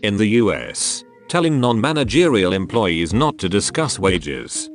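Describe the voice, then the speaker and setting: A man narrating forum posts aloud as a scripted read-through, with a steady, even pace. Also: lowish voice, measured pace. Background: faint background music.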